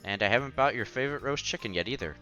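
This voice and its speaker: A man's voice: medium pitch, lively intonation, rapid-fire, dull audio. A man reading a visual novel aloud on a headset mic, acting out the dialogue and adding offhand comments in a casual way. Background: soft music.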